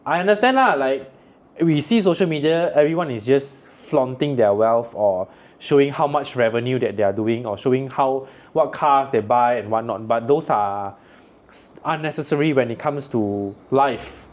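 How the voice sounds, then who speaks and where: A man's voice: mid-range voice, normal speed. A man from Malaysia with a Malaysian English accent, chatting casually and giving frank business advice, laughing often. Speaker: podcast host. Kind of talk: casual chat.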